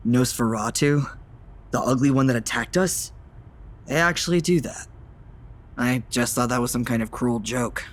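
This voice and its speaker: A man's voice: medium pitch, moderate pace, pauses often, close to an everyday voice. A man playing a curious, sceptical new vampire in an audio drama, with a conversational, questioning delivery. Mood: incredulous. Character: newly turned male vampire, curious, sceptical, naive.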